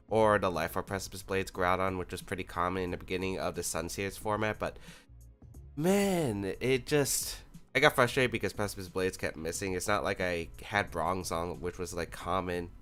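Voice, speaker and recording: A man with a lowish, smooth voice runs casual commentary on his own video, weighing each move out loud. He hedges with filler words and sounds a little under the weather. Faint music plays underneath. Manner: thinks aloud, analytical, relaxed with fillers. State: a little sick. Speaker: YouTube competitive gaming host.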